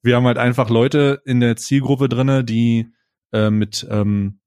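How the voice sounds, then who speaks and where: Man, casual podcast co-host, relaxed and halting, lots of fillers, mid-pitched voice. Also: smooth. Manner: laid-back, halting with fillers and restarts. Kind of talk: casual chat.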